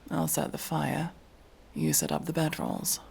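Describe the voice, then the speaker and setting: Man playing a gravelly, world-weary fantasy adventurer. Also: fairly high pitch, little pitch movement, a little slow, slight background noise. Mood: curious, easygoing. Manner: dry, matter-of-fact, gently teasing. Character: gruff, world-weary male armored adventurer, guarded but kind. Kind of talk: immersive roleplay audio drama, one-sided conversation.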